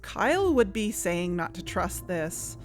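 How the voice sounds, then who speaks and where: A woman's voice: medium pitch, big pitch swings, unhurried. A woman playing a hesitant, thoughtful podcast investigator. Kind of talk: scripted audio drama dialogue. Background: music.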